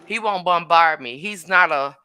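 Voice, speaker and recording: Bright, crisp-voiced woman chatting. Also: on the low side, moderate pace, no echo. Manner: laid-back, conversational, reads listener comments. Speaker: podcast co-host. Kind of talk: casual chat.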